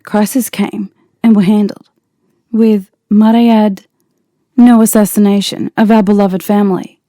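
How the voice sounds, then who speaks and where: A woman's voice: mid-range voice, flat delivery, a little slow, dark tone, no room sound, lightly acted. A woman voicing a regal pony princess who answers in a composed, dry, unbothered manner. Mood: calm dry wit. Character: calm, regal pony princess, dryly witty. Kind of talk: audio drama reading.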